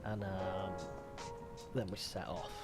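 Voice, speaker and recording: A man's voice: deep voice, little pitch movement, slow pace. A man with a slightly stuffy voice chatting casually as a live game streamer, rambling with wry asides and mild swearing. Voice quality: stuffy, congested. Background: music.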